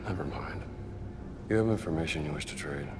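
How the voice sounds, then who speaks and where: A man playing a gruff, wry American archaeologist adventurer in a cinematic game, dry and sardonic. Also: extremely low pitch, fairly quick, background noise. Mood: guarded.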